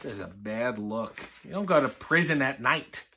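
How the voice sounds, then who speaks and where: A man reading a children's picture book aloud, breaking off for sardonic, deadpan comic asides. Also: medium pitch, normal speed.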